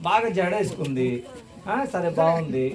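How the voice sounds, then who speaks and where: A woman's voice: lowish voice, lively intonation, moderate pace. A woman hosting a children's puppet show with playful, teasing banter, repeating phrases and calling out to the audience. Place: church hall with children in audience. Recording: echoey hall, distant mic, loud crowd.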